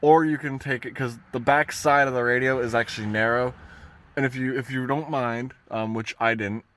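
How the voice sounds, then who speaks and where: A man gives a casual hands-on DIY truck tutorial in a halting, rambling way, often correcting himself as he goes. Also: medium pitch, steady tone, a bit bright, a little hiss or hum. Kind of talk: casual hands-on how-to talk. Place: inside a parked pickup truck cab.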